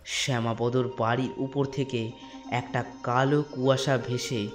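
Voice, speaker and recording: Slow-paced male ghost story narrator. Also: medium pitch, level tone. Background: soft instrumental music.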